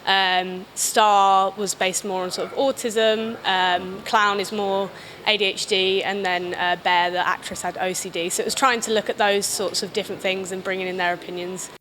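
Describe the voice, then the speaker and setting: A woman's voice: medium pitch, little pitch movement, moderate pace, fairly bright tone. A woman with a British accent chats casually in an interview as a film director. She is hesitant and rambling, with some faint noise in the recording. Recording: clear background noise.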